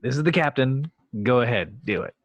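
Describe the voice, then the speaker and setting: Husky man playing an earnest, pleading captain. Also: mid-range voice, animated, fairly quick, dry recording. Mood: brisk. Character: earnest, pleading actor known as a starship captain. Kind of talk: amateur table read of a film script.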